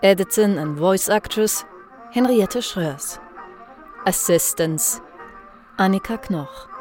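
A woman's voice: mid-range voice, animated, takes it slowly, darkish tone, soft background music. A woman reading podcast end credits in English with a playful, German-tinged attempt at a Birmingham accent. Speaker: voice actress and editor reading credits.

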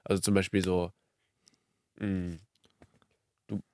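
A man's voice: deep voice, level tone, slow, a little mellow, clean tone, no echo. Young man in casual, dry-sounding podcast chat. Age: early twenties. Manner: youthful slangy German with English loanwords. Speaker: podcast co-host.

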